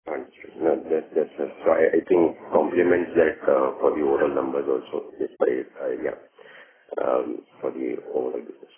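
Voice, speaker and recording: A man, an analyst, asking a halting, deferential question on an earnings call over an unclear line. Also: very deep voice, little pitch movement, phone-quality sound.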